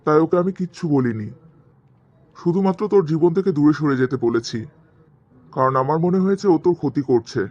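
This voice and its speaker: Stern, higher-voiced cartoon father, played by a man. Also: animated, fairly quick, long pauses, a little mellow, no echo, highs cut off. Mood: stern, hurt. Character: protective, stern father, middle-aged, guilt-tripping his daughter. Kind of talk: cartoon drama dialogue.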